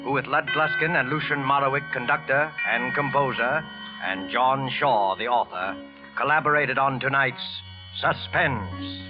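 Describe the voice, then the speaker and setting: Male radio drama host, medium pitch, formal dramatic scripted delivery, vintage 1940s style, muffled lo-fi recording. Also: a little slow. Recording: dull vintage radio broadcast, music behind.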